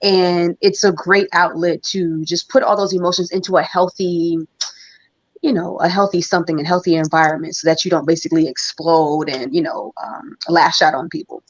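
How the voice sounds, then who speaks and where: Measured, reflective woman, muffled dry sound. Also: on the low side, steady tone, no echo. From New York. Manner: reflective, thoughtful, measured with fillers. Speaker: singer guest. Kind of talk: casual interview talk.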